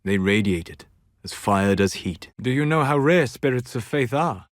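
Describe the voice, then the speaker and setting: An actor playing a composed, proud elf mage in a video game, speaking with measured, precise, dryly sardonic delivery. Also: male voice, medium pitch, expressive, no room sound, clean studio sound, lightly acted. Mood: calm, instructive. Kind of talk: video game character dialogue.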